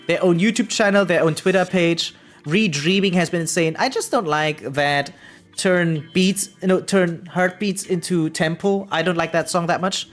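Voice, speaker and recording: An excitable gaming streamer chatting casually and slangily on a livestream, swearing freely. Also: mid-range voice, moderate pace. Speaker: variety game streamer. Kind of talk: casual livestream chat about gacha games. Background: background music.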